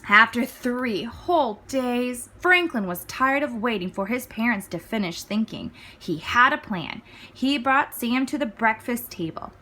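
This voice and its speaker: A woman reading a children's story aloud as scripted narration, with clear, expressive phrasing. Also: a little high, measured pace. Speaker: storybook reader.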